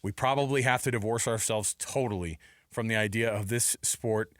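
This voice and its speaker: A man's voice: lowish voice, moderate pace, no room sound, studio quality. Emphatic man talking sports on radio. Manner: emphatic, rhetorical questions, repeats points. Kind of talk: opinionated sports talk.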